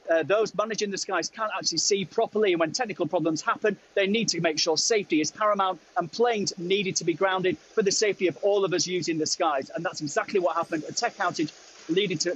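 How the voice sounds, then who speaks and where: A man giving an emphatic live news report as a correspondent, repeating phrases for effect. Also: high-pitched. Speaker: transport correspondent. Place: live location report at an airport. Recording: dull audio with clear background noise.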